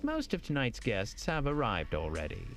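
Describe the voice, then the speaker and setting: A man's voice: medium pitch, wildly swooping pitch, normal speed, a bit bright. A man playing a suave, deferential hotel manager in an audio drama, formal, courteous and theatrical. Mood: smooth, reassuring.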